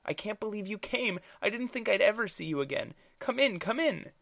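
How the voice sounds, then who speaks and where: A storyteller voicing a nervous young lord who stutters and tries to placate everyone. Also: female voice, a bass voice, lively intonation, unhurried, no room sound, studio quality, voice pushed up, full character voice. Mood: flustered.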